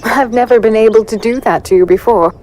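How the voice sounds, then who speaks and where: A woman playing a sharp-tongued, playful warrior in a fantasy audio drama, with sarcastic, teasing delivery. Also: on the high side, fairly quick, natural voice. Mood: playful challenging.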